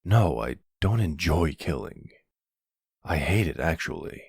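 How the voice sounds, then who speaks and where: Man acting as gruff mafia boss, middle-aged, very low husky voice, doting and protective, intimate ASMR roleplay, close dry sound. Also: sing-song, a little mellow, no echo, full character voice. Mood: solemn, protective. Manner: slow, affectionate, uses pet names.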